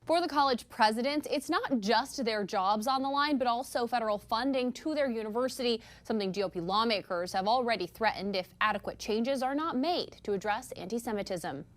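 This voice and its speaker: A woman's voice: fairly high pitch, fairly quick, few pauses. A woman with an American accent narrating a scripted report as a news correspondent.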